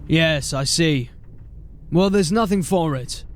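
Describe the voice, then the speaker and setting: An actor playing a blunt, commanding veteran sergeant of a city watch. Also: male voice, on the high side, slow pace. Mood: grim. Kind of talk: audio drama acting. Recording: music bed under the voice. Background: music.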